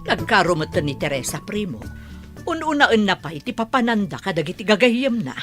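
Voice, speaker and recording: A woman's voice: mid-range voice, very animated, normal speed, soft background music, lightly acted. A woman acting a concerned, wistful middle-aged mother in an audio drama.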